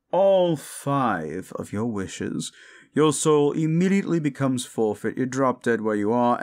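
A man's voice: medium pitch, a little mellow, natural voice. A man voicing a condescending, seductive demon deal-maker in an audio roleplay, speaking in a theatrical, mocking drawl. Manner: slow, condescending, theatrical drawl with amused chuckles. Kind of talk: scripted audio roleplay addressed to the listener.

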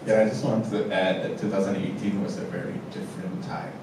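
A man directing a panel talk, speaking haltingly with many fillers. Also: low-pitched, level tone. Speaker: theatre director. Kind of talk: casual panel chat. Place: indoor theatre stage. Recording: echoey theatre, distant mic, noisy.

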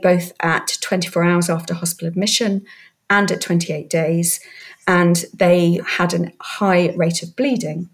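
Low, even-voiced British woman, expert guest. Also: husky. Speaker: guest expert, hematologist and researcher. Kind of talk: measured expert explanation in a podcast interview.

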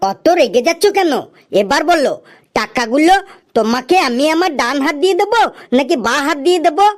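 Performed cartoon comic customer, high-pitched, wheedling, cheeky, teasing, mock-earnest delivery. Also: expressive, fairly quick. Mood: teasing, stalling. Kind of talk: cartoon comedy dialogue.

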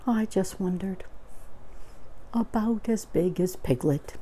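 A woman's voice: on the low side, very animated, takes it slowly, mellow, exaggerated voice. A woman voicing a gloomy old male donkey from a storybook in a mournful murmur. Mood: sad.

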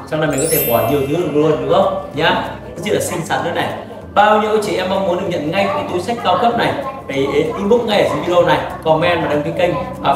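Fast upbeat man hosting in echoey room. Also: fairly high pitch, far from the mic. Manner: upbeat salesy, frequent tag questions. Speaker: spa clinic host. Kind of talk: promotional customer interview. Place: decorated spa studio set, indoors. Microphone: lapel mic. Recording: echoey room, off-mic, music behind.

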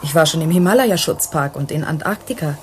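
An actress in a German radio play, playing a frank, obliging office secretary with a casual, polite manner. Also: female voice, low-pitched, lively intonation, brisk, a little mellow, a little hiss or hum. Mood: candid.

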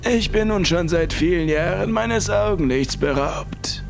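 A man voicing an old blind priest who speaks slowly and softly in a high, strained voice. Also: animated, vocal fry, exaggerated voice. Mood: gentle. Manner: slow, soft, high and strained. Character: old blind male priest, gentle and slow. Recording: faint music underneath.

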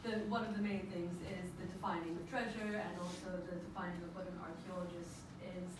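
Low-voiced woman moderating in an echoey room. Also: little pitch movement, a little fast. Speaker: panel moderator. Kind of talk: moderating a discussion. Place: lecture room, indoors. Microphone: far camera in the audience. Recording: echoey lecture room, distant, noisy.

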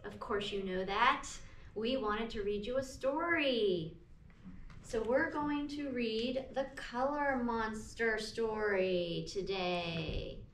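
A woman with a high voice reads a children's picture book very slowly. Her delivery is sing-song and aimed at small children. She is recorded in an echoey classroom. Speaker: preschool teacher reading to children. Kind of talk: picture-book read-aloud for young children. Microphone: camera a few meters away. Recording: echoey room, distant mic, background noise.

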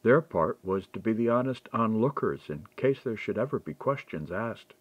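A man reading a historical mystery as an audiobook, in a measured, expressive storytelling manner. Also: lowish voice, slightly rough, dry recording, highs cut off.

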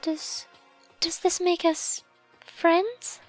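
A woman's voice: extremely high pitch, steady tone, drawn-out words, faint music behind, heavily acted, low-quality recording. A woman voicing a timid, formal young schoolgirl with a hesitant, shy manner in a fan audio drama.

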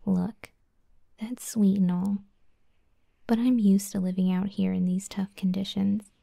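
A woman performs a proud scorpion monster girl fighter for an ASMR audio roleplay. Her voice is very soft, dark and breathy, mostly whispered at an unhurried pace. She sounds grudging and haughty, with a flustered edge, close and dry on the mic. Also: medium pitch, level tone, no room sound. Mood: defensive, proud.

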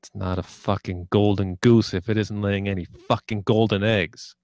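A man's voice: lowish voice, steady tone, normal speed, a little mellow, dry recording, clean studio sound, natural voice. A man reading the part of a cocky, menacing, sarcastic young drug dealer in a screenplay table read. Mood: aggressive. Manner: sarcastic, foul-mouthed, taunting.

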